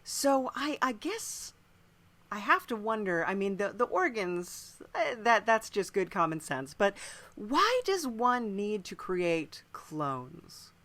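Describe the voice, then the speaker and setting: Bright-voiced woman hosting a podcast. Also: mid-range voice, big pitch swings, slow. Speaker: podcast host interviewer. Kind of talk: casual conversational interview. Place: podcast interview recording.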